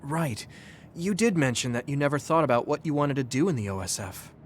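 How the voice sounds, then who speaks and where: A man's voice: medium pitch, expressive, fairly quick, a little hiss or hum, natural voice. A man playing an earnest, caring young military recruit in a video game, sincere and supportive. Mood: concerned. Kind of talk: English video game voice acting.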